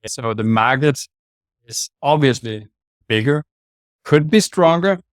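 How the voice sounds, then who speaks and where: A man's voice: medium pitch, lively intonation, slow, no room sound, studio quality. A man with a Danish accent, a sales manager giving direct, plain-spoken answers as an interview guest over a video call. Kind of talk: casual interview talk.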